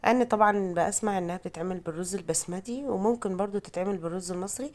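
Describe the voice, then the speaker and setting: A woman's voice: medium pitch, very fast, a little mellow. A woman with an Egyptian Arabic accent gives a casual, chatty, self-deprecating recipe voice-over as a home cook, her voice altered by a cold. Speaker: home cooking vlogger.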